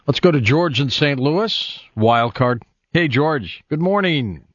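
A man's voice: a little high, expressive, takes it slowly, no room sound. A man with an American accent hosting a radio call-in show in a casual, conversational style. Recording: dull, dry radio broadcast.

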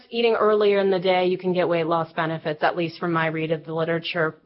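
A woman researcher answering interview questions in an enthusiastic, explanatory way. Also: lowish voice. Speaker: researcher guest. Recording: dull lo-fi broadcast sound.

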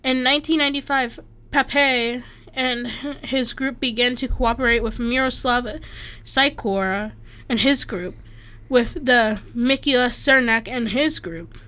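Woman from Slovakia, bright high voice, reads notes casually, trips on names. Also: a little slow. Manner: stumbles over names, self-deprecating asides. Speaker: co-host reading an article. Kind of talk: casual chat, reading aloud.

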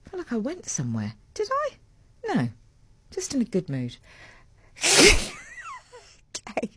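A woman hosting a live morning radio show in a studio, chatty and rambling, her voice sitting lower than usual after a late night. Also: wildly swooping pitch, unhurried, very noisy. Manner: rambling, warm and self-mocking, with laughs. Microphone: large studio mic with a fluffy cover.

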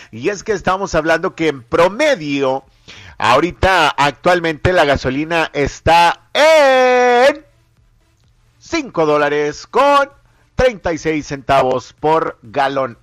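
A man's voice: fairly high pitch, fairly bright tone. A man hosting a morning radio show, reading listener messages aloud and teasing his co-host playfully. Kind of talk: joking morning radio banter.

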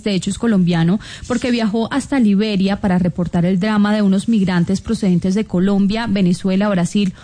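A woman reporting news on radio in a clear, measured way. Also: medium pitch, warm tone.

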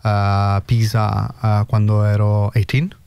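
A middle-aged man from Sicily with a strong Italian accent, a guest on an interview who talks haltingly with many fillers and restarts. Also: on the low side, little pitch movement, gravelly, darkish tone, clean tone, dry recording. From Sicily, Italy. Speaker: guest, cancer researcher. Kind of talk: casual interview chat.

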